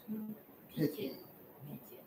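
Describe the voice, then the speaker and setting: A woman in a casual group discussion, speaking in short, repeated phrases. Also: slow. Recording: echoey room, distant mic, background noise.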